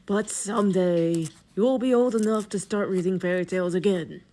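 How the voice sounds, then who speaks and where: A woman narrating a book in a deliberate, expressive, dramatic storytelling style. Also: mid-range voice. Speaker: narrator and host of a reading series.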